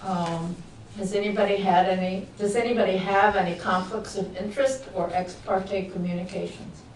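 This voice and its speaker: A woman's voice: mid-range voice, steady tone. Woman chairing a meeting, echoey and distant. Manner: formal, measured, with light asides. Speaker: board chair. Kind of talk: reading meeting procedure aloud. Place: town meeting room. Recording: echoey, distant, noisy public-access broadcast.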